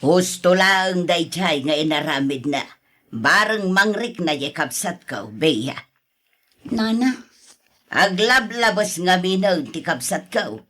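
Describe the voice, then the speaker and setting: An actress with an Ilocano accent playing a concerned sister-in-law in a radio drama, frank and sympathetic in a conversational way. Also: female voice, on the low side, lively intonation, slow, fairly bright tone, full character voice. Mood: frank, disapproving.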